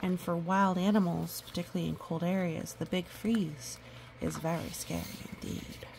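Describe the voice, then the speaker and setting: A woman reading a picture book aloud to a young child, animated and repeating words for emphasis, with explanatory asides. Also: fairly low pitch, normal speed, gravelly, noisy background.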